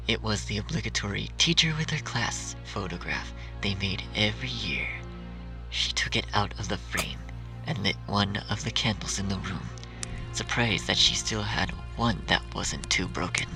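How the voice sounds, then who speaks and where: Man, lowish gravelly voice, dramatic narrator, dark fan fiction reading, music underneath. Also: rough recording.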